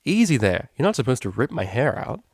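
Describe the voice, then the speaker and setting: A man's voice: mid-range voice, sing-song, brisk, slightly rough, no echo, clean studio sound, pitched above the performer's own voice. A man voicing a brash young female unicorn DJ, playful and easily flustered, in slangy exclamations. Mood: bossy, casual.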